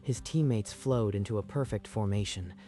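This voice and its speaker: A man's voice: fairly low pitch, moderate pace, mellow. A man narrating a dramatic story from a script in a storytelling style.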